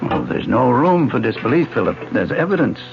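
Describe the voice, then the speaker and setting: An actor playing a smooth, condescending husband in an old radio drama, speaking in a knowing way. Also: male voice, mid-range voice, sing-song, fairly quick, over music. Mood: insistent. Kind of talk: 1970s radio drama acting. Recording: dull lo-fi old radio broadcast.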